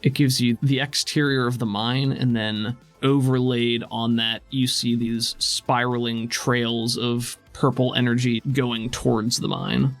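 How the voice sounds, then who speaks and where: Creaky-voiced man running a game. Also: medium pitch, flat delivery, a little slow. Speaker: game master. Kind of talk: narrating a tabletop game, conversational. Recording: faint music under the voice. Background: soft background music.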